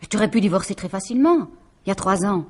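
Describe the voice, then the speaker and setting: An actress playing an estranged wife in a French radio mystery drama, remorseful yet stubborn and insistent, with a husky voice. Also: female voice, medium pitch, animated, racing. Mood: insistent, fed up. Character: estranged wife, remorseful but stubborn, pleading then threatening.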